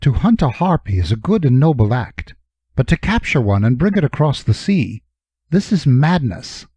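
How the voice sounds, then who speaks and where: A man's voice: medium pitch, sing-song, normal speed, dark tone, breathy edge, dry recording. A man voicing a male Phoenician pirate-merchant who haggles and fusses, earnest and dramatic. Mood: worried.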